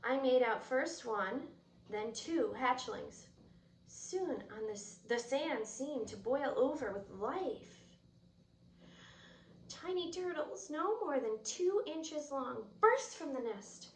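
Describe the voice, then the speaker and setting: A woman reading a children's book aloud with expressive, storytelling delivery. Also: high voice, slow. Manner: expressive, drops to whispers at quiet moments. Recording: roomy echo, distant mic, faint noise.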